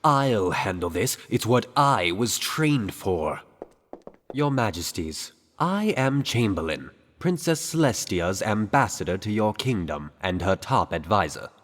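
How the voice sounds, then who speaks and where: A smooth-tongued, condescending chamberlain, played by a man in a fan-made radio play. He speaks formally and measuredly in a fairly low voice, with long reverb around him. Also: big pitch swings, a little slow, a bit bright, exaggerated voice. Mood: formal, deferential. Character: pompous, smooth-tongued male royal ambassador and chamberlain.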